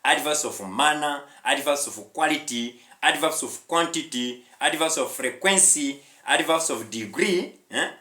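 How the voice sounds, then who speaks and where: A man's voice: fairly high pitch, animated, a little slow, few pauses, pushed and bright. Echoey Rwandan man teaching English. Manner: emphatic, repetitive, with questioning interjections. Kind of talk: online language lesson, addressing viewers directly. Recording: echoey room.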